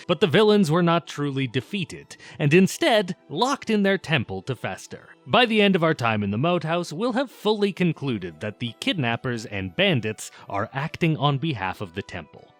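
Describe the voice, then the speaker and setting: A man's voice: medium pitch, very animated, normal speed, few pauses, a bit bright, faint music behind. A man narrating a scripted video essay in an informal, opinionated way, with casual asides.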